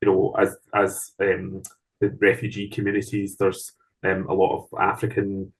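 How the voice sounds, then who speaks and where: A Scottish man speaking as the guest author in an online seminar, thoughtful and measured, with frequent ums and restarts. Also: deep voice, completely flat delivery, husky, rough recording. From Scotland. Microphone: video call.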